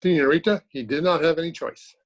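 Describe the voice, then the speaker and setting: A man's voice: a little high, fast, highs cut off, natural voice. A man playing a courteous, gloomy taxi driver in an old-time radio drama. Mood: solemn. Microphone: video call.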